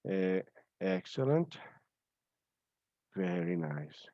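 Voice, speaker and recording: A man hosts an online event informally, speaking haltingly and repeating himself. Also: low-pitched, very animated, mellow, no echo. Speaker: host of a student reading-aloud event. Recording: dull, lo-fi online call audio.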